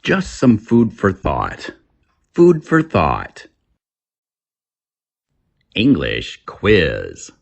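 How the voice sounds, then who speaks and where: A man reading scripted English lessons as a teacher, deliberately, pausing between short phrases. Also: sits low, wildly swooping pitch, vocal fry.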